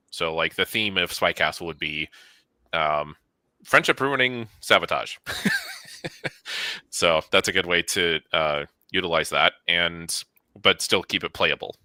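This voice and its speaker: Deep, bright-edged man giving emphatic advice. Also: a little slow, dry recording. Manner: emphatic, explanatory. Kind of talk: conversational advice to viewers.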